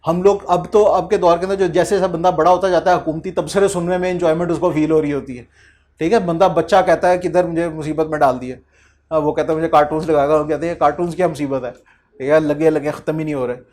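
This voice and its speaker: A young man lectures an accountancy class in a high voice. He calls his students children and slips into acted-out back-and-forth dialogues to make his points. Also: steady tone, quick pace, darkish tone. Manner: addresses students as children, acts out quoted dialogues. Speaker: accountancy teacher.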